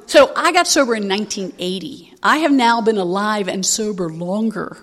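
A woman's voice: mid-range voice, moderate pace, a bit bright. A woman in her sixties giving a candid, rambling personal testimony at a recovery meeting, with frequent fillers and restarts. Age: 60s.

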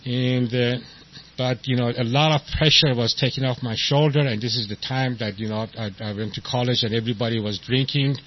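A man in his sixties from Iran with an Iranian accent, giving a halting, self-deprecating personal testimony as the main speaker at a recovery meeting, full of fillers and restarts. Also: medium pitch, steady tone. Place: meeting hall with an audience. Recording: dull lo-fi recording with faint noise.

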